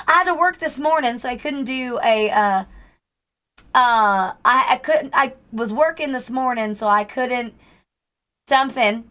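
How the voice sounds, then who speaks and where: Streamer, female, hoarse, slightly higher voice, faking a Southern drawl, chatty with viewers. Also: a bit bright. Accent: put-on Southern US. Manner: chatty, greets and thanks chat by name. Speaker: livestreamer. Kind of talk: casual livestream chat with viewers.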